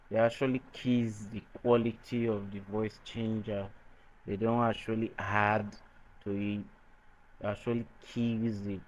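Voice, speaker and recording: A man presenting an unscripted software tutorial in a halting, hesitant way. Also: lowish voice. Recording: dull lo-fi microphone sound.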